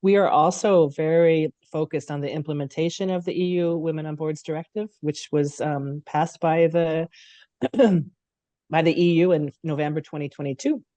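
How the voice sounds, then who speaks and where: A woman with a cold leads a webinar welcome from her apartment over a video call. Her voice sits mellow and dark, and the sound is close and dry. She stays chatty and speaks straight to her listeners. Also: low voice, normal speed, no echo. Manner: conversational, speaks straight to the audience. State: has a cold. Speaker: CEO of a nonprofit.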